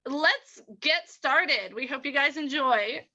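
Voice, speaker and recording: A woman hosts a play reading in a casual, welcoming style. Her voice is high and very bright, at a slow pace. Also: lively intonation, dull audio. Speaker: host of an amateur radio players' reading.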